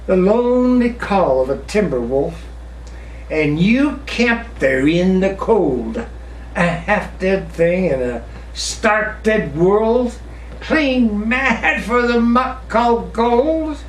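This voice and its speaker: A man with a high voice performs a dramatic ballad recitation. His delivery is slow and theatrical, with pauses for effect. It is picked up from a distance in a roomy space. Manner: theatrical, drawn-out, expressive storytelling with pauses. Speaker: poetry reciter. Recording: roomy, distant mic with faint noise.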